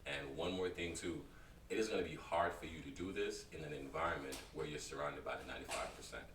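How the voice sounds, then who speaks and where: Very deep-voiced male co-host. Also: fast talker, fairly bright tone. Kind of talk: casual chat. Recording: echoey room from far off, faint noise.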